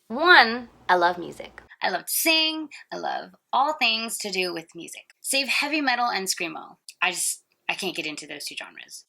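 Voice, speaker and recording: A woman in her thirties from Singapore tells her story in a playful, self-mocking narration. Her voice is bright and forceful, with a gravelly edge. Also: mid-range voice. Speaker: singer and host. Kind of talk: scripted personal narration.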